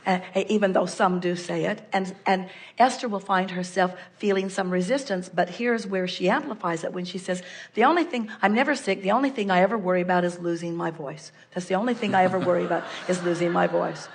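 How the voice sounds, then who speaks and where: An older woman giving a measured, explanatory seminar talk, referring to herself in the third person. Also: on the low side. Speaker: spiritual speaker answering a guest. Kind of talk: live seminar channeling talk.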